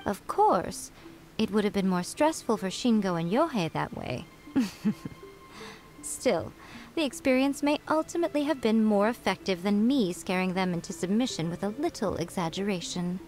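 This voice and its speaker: A woman's voice: medium pitch, lively intonation, moderate pace, a little mellow, soft background music, natural voice. A woman voicing a sly, wise fox-spirit shrine priestess in a video game, composed and lightly teasing as she lectures.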